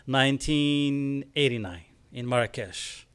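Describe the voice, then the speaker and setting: Moroccan guy in his 40s, smooth clear voice, keeps restarting and hunting for words, studio mic. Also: mid-range voice. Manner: halting, searching for words, many restarts. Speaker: guest composer. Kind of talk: casual interview. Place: small studio with screens. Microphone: studio mic on stand, headphones.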